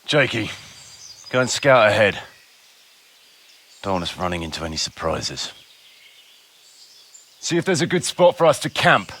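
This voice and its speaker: An actor playing a gruff, cautious mercenary chief in a video game, dry and folksy in his orders. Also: lowish voice, sing-song, unhurried, long pauses, bright, forceful tone, heavily acted. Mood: grudging, commanding. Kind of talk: video game cutscene acting.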